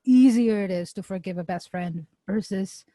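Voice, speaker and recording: A young woman with a Filipino accent co-hosting a podcast, playful, searching for words and slowing down to stress a point. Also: mid-range voice, dark tone, dry recording, clean studio sound. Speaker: podcast co-host. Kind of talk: casual chat.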